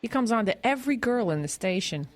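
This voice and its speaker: A woman's voice: a little high, expressive, fast talker, close to an everyday voice. An actress playing a nosy, cheeky, folksy woman at a small-town police station in a comic audio drama, teasing and blunt with ungrammatical homespun phrasing. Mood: mocking, suspicious. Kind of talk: audio drama comedy acting.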